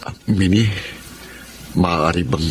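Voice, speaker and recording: An actor playing a proud young husband in a Filipino radio drama. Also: male voice, deep voice, takes it slowly, slight background noise. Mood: contrite. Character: proud young husband, judgmental, later humbly sorry.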